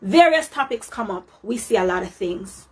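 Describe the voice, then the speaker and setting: A woman's voice: mid-range voice, lively intonation, moderate pace, husky. A Jamaican woman giving her opinion in an earnest, explaining way. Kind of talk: casual opinion video.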